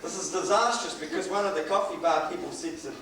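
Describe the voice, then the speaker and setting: A man's voice: on the high side, quick pace, far from the mic, heavy background noise. A middle-aged man, preaching a sermon and telling a personal story with chuckles. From New Zealand. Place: church hall. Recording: echoey hall recorded from afar.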